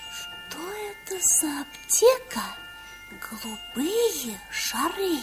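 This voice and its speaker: Very high, lively little girl. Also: extremely slow, over music, full character voice. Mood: curious, wondering. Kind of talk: Soviet radio play acting.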